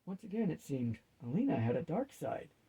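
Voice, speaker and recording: A man's voice: fairly high pitch, very animated, normal speed, warm tone, breathy edge, low-quality recording. A man reading a fantasy story aloud as a narrator.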